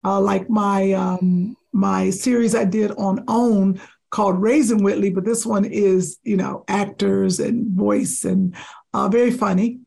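Raspy-voiced woman chatting. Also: a little slow. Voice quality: deep raspy voice. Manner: chatty, animated, rambling with fillers. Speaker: comedian guest. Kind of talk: casual podcast chat.

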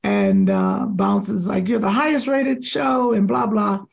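A woman comedian with a deep, raspy voice, chatting casually as a podcast guest, animated and rambling. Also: measured pace.

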